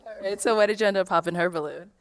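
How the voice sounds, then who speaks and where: A woman hosting a dating show, brisk and upbeat as she guides contestants. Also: medium pitch.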